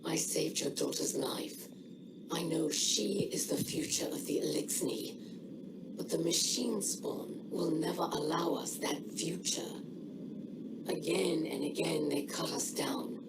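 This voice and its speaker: A woman voicing a proud, weary alien leader in a video game cutscene, solemn and deliberate, with a breathy rasp. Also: deep voice, very noisy. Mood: bitter, defiant.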